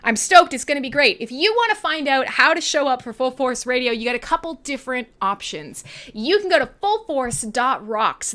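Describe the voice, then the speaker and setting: A Canadian woman hosting a live radio show with casual, irreverent chat, animated and slangy with some swearing. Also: high voice.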